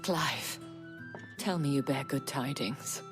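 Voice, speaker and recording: A poised, mature brothel madame in a game cutscene. Her voice is extremely low for a woman, refined and deliberate with a teasing edge. Music plays softly behind her. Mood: concerned. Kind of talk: video game cutscene acting.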